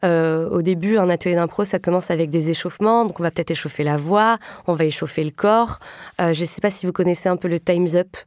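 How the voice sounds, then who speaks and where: A woman who leads improv workshops, describing them as a podcast guest in a relaxed way with frequent fillers. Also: fairly low pitch, brisk, no room sound, studio quality. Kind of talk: podcast discussion.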